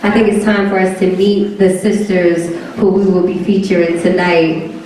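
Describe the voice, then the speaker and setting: A woman's voice: medium pitch, level tone, moderate pace, warm tone. A woman hosting a live event, upbeat and rallying the crowd as she hands over to each guest. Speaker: event host. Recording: echoey hall, mic far off.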